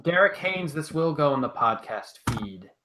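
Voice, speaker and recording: Male podcast co-host, lively intonation, slightly high pitch, casual livestream delivery. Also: normal speed, a crowd in the background.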